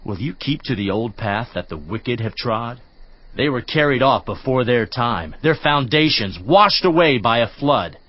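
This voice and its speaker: A man's voice: mid-range voice, expressive, measured pace, no room sound. An actor playing a stern friend who lectures a suffering man in a dramatized scripture reading, admonishing and preacherly. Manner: admonishing, preacherly, rising and falling emphasis. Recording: slightly dull dry studio recording.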